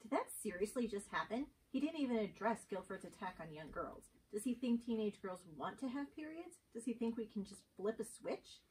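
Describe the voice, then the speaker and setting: Indignant, caring female teacher, read by a woman for amateur stage script, mid pitch, off-mic with faint noise. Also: expressive, a little fast, hardly any pauses, darkish tone, far from the mic, natural voice, poor audio. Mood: exasperated. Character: indignant, caring female schoolteacher Miss Reel. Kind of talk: amateur dramatic script reading.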